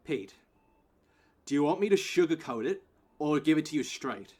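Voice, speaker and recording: A man's voice: fairly high pitch, lively intonation, normal speed, darkish tone. A man playing a blunt, firm grey-haired security guard and mentor in an audio play.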